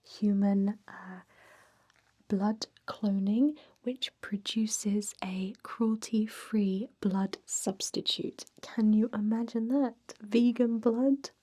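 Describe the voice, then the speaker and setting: A friendly female vampire, played by a woman, conducts a job interview as an ASMR roleplay. She speaks in a soft, breathy whisper with a dark, mellow tone. Her manner is gentle, chatty and encouraging, like that of an ageless immortal putting a recruit at ease. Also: mid-range voice, steady tone, slow. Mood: warm, encouraging, then excited, welcoming.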